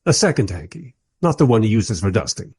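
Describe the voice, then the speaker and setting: Man as a wry, husky, lively narrator. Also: lowish voice, brisk, full character voice. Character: wry, irreverent male writer narrating, politely probing interviewer. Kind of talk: first-person radio play narration.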